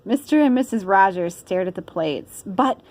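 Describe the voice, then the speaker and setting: A woman's voice: medium pitch, expressive, moderate pace, a little mellow. A woman reading a children's story aloud, playfully, with chatty asides. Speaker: storybook reader. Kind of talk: animated children's story narration.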